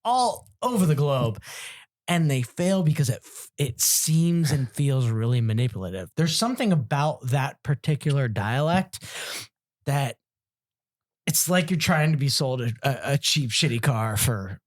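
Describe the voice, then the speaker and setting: A man tells a rambling, profane story on a comedy podcast, his pitch swinging widely as he goes. He talks casually among friends. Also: animated, a little slow. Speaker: podcast co-host.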